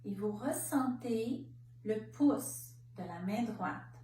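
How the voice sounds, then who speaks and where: A woman leading a guided relaxation meditation as a yoga nidra instructor, speaking in calm, measured short phrases with pauses. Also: on the high side. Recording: roomy, distant mic, faint background noise.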